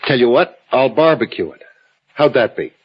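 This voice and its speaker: A man's voice: medium pitch, lively intonation, normal speed, slightly rough. An actor playing a baffled, insistent suburban husband and father in an old-time radio drama, full of urgent questions. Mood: concerned, casual. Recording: dull old radio broadcast with music.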